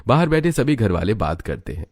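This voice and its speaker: A man's voice: fairly low pitch, very animated, fairly quick, breathy edge, dry recording. A man giving scripted narration of a family story.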